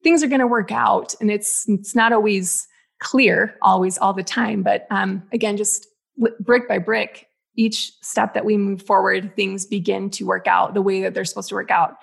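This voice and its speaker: A woman's voice: mid-range voice, fairly even intonation, moderate pace, gravelly, breathy edge. A woman in her thirties whose first language is Spanish, a guest on a podcast talking in a reflective way with many fillers. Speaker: guest, business mentor. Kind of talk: casual podcast conversation.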